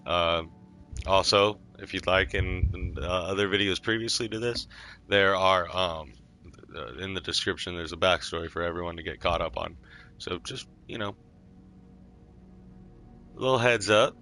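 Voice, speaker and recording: A man roleplaying live while playing a video game, halting and thinking aloud as he goes. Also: low-pitched, pushed and bright. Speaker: streamer roleplaying a game character. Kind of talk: live in-character gameplay roleplay.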